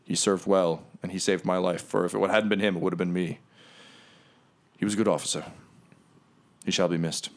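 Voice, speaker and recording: A battered but dignified starship captain speaks in a deep, hushed, grave voice, as a man voices him at the table. His words come slow and halting. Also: fairly even intonation. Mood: solemn.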